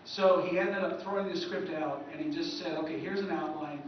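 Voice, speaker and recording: A man gives an informal history talk. His voice is a little high and his manner rambling. He sounds muffled and distant in a heavily echoing room. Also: brisk, slight background noise. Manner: rambling, conversational. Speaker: historian presenting at a convention. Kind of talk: informal lecture. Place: convention presentation room. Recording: echoey hall, distant muffled mic.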